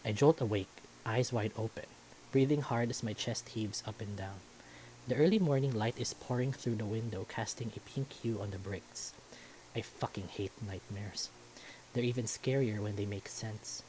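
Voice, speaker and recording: A man's voice: lowish voice, normal speed, dark tone, slight background noise, low-quality recording. A man reading a visual novel aloud as first-person story narration.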